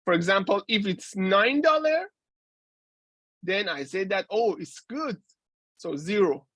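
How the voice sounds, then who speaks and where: Slow, halting man lecturing. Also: high-pitched, expressive, a bit bright, breathy edge, no echo. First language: Persian. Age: 40s. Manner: halting, with many restarts and fillers. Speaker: guest lecturer. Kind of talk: university lecture.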